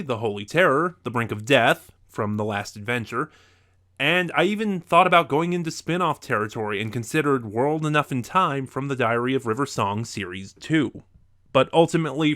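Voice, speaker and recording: A man giving an opinionated review, speaking in a measured way with emphatic stresses on key words. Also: mid-range voice, a bit bright.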